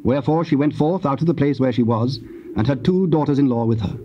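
A man narrating scripture in a solemn, measured, formal style as part of an audio drama. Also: mid-range voice, close to an everyday voice. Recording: muffled hissy old cassette tape.

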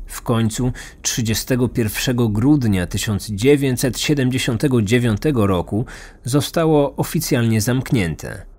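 A man's voice: mid-range voice, darkish tone. A man reading scripted true crime narration as a podcast host.

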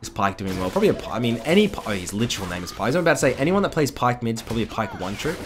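A young man with a slightly croaky voice, casually commenting on a game replay from his desk, chatty with excitable bursts. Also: medium pitch, rapid-fire, noisy background. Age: young adult. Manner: chatty, excitable bursts over calm analysis. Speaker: gaming commentator. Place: indoor room at a desk. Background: background music.

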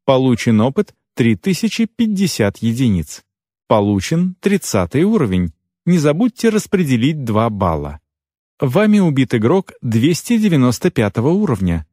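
A man voicing an impersonal game system that makes formal, measured announcements in a fantasy audiobook. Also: mid-range voice, warm tone, no echo, clean studio sound.

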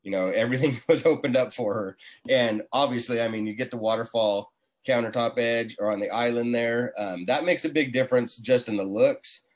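A man's voice: mid-range voice, brisk. A man in his forties, an easygoing contractor talking casually as a guest on a video call. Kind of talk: casual interview talk. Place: small indoor room, video call. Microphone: computer mic on a video call.

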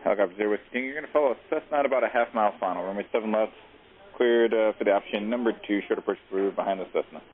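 Male tower controller, lowish voice, rattles off instructions over radio. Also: racing. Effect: aviation radio. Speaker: air traffic controller. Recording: tinny aviation radio, faint static.

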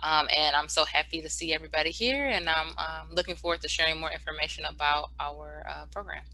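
A woman from Detroit introducing herself as an academic director on a video call, friendly with frequent pauses. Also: sits low, sharp tone, a little hiss or hum, low-quality recording. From Detroit, Michigan. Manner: friendly, with many um fillers.

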